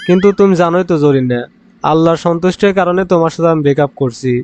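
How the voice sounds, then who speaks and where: A man's voice: on the high side, quick pace, darkish tone, lightly acted. A man voicing an earnest, pious young man in a comic cartoon. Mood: earnest, apologetic. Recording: dull lo-fi audio, music underneath.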